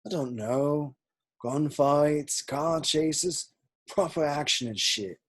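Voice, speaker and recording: Guy reading a keen goofy young cop, a bit husky, rambles on. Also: fairly high pitch, slow pace, fairly bright tone, heavily acted. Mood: eager. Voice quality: eager, chatty. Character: eager, naive young male police constable.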